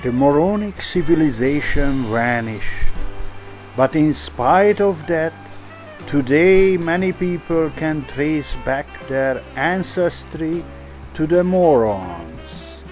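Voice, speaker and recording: Slow, swooping deadpan man narrating a mock documentary. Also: on the high side, soft background music. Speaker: presenter of a short film.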